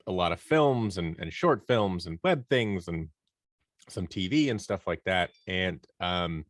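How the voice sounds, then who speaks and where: A man's voice: low-pitched, a bit bright, dry recording, clean studio sound. A man in his thirties answering as a podcast guest in a casual, rambling, self-deprecating way, often repeating words. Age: 30s. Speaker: podcast guest, audio drama producer and performer. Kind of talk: casual conversational interview answers.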